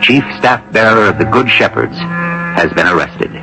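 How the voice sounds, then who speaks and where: A man's voice: mid-range voice, very animated, measured pace, over music, poor audio. A man hosting and narrating a mystery radio drama in a dramatic, scripted style. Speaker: host and narrator.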